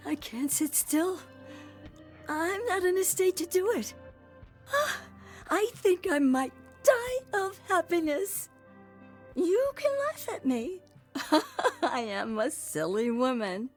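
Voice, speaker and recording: A woman's voice: extremely high pitch, expressive, slow, long pauses, a little mellow, soft background music, full character voice. A woman voicing an eccentric, sentimental aristocratic lady in a visual novel, gushing and childlike in a theatrical, sighing way. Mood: giddy overjoyed.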